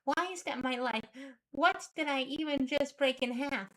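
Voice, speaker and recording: A woman's voice: high-pitched, moderate pace, fairly bright tone, pitched above the performer's own voice. A woman voicing an exasperated, stubborn, sarcastic heroine who narrates a horror webcomic in the first person. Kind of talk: dramatic first-person comic readalong narration.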